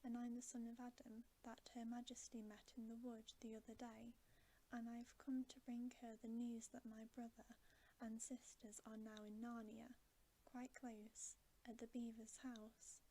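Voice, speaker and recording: A woman's voice: fairly high pitch, little pitch movement, measured pace, warm tone, a little hiss or hum, low-quality recording. Woman whispering as a timid young storybook boy. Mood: fearful. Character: frightened, eager-to-please young boy. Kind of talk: whispered ASMR storybook reading.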